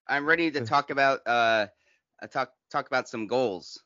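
A man's voice: mid-range voice, measured pace, sharp tone, no echo, lo-fi sound. A man in his thirties co-hosting a casual podcast, playful and a bit hesitant, often laughing. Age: 30s. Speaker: podcast co-host.

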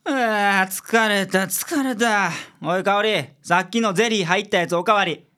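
A woman's voice: medium pitch, unhurried, a bit bright, close to an everyday voice. A woman playing a weary, blunt middle-aged male detective with a Kansai accent in a community radio drama, talking in a dry, exasperated way. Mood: tired.

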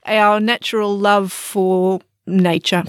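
A middle-aged Australian woman explains her work in a relaxed, unhurried way. Her voice sits in a mid range, and the sound is dry and close. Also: medium pitch, clean tone, no room sound. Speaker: psychology lecturer, guest expert. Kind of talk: interview answers.

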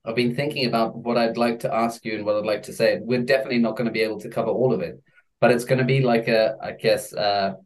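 A man hosting a podcast, chatting loosely with a guest and pausing on fillers as he thinks. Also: medium pitch, steady tone, poor audio. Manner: hesitant, with many fillers and quick laughs. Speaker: podcast host. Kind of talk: casual interview chat.

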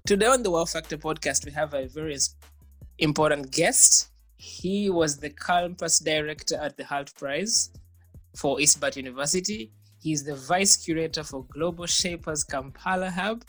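A man's voice: on the high side, a bit bright, dry recording, low-quality recording. A man hosting a podcast interview, introducing his guest and asking questions with upbeat interjections. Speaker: podcast host.

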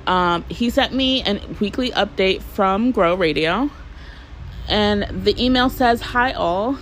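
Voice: medium pitch, takes it slowly, a bit bright, slight background noise, rough recording. A podcast host in a casual solo monologue, rambling and chatty, with lots of fillers and the odd swear word.

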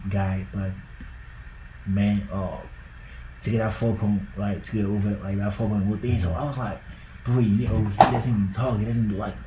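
A man's voice: on the low side, mellow, reverberant, slight background noise, low-quality recording. A young man from Ghana with a Ghanaian accent, talking loosely on a podcast and filling his talk with likes and bros. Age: young adult. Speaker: podcast co-host. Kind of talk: casual podcast chat.

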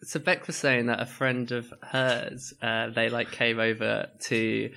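A young Englishman with a bright, forceful voice chats loosely with a friend. He tells long, winding stories and laughs as he goes. His pitch sits right in the middle for a man. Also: level tone, unhurried, creaky. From England. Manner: rambling storyteller, laughs often, mimics people in higher voices. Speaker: podcast co-host. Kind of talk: casual chat.

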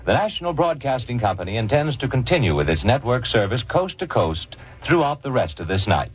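A dull, muffled 1940s radio recording of a male news announcer. He is formal and deliberate, husky, with a strongly rising and falling intonation. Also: mid-range voice, big pitch swings.

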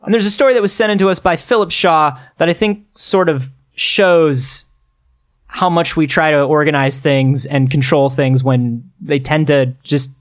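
A man's voice: on the high side, steady tone, moderate pace. A man co-hosting a podcast, telling stories casually and often interrupting himself as he explains. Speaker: podcast co-host. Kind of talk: casual conversational storytelling.

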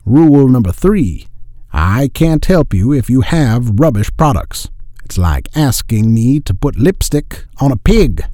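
Slow, lively-pitched man announcing. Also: no echo, clean studio sound. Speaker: show intro announcer. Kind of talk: scripted intro.

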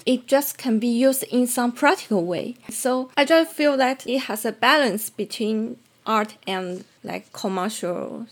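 A woman from southern China with a Chinese accent, talking conversationally as an illustrator in an interview. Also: medium pitch.

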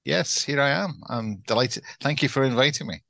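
A middle-aged man from Glasgow with a Scottish accent, co-hosting a podcast and being interviewed, wry and self-deprecating with halting restarts. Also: medium pitch, vocal fry, fairly bright tone, dry recording. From Scotland. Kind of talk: casual podcast chat.

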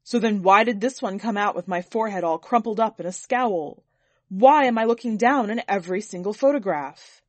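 A woman voicing a vain, pompous, quick-tempered lordly master in a story, speaking with indignant emphasis. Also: mid-range voice, no echo, close to an everyday voice.

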